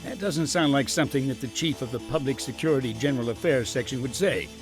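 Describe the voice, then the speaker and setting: An actor playing a stern, probing older security chief in an anime game dub, formal and pointed. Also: male voice, medium pitch, fast talker, over music, close to an everyday voice.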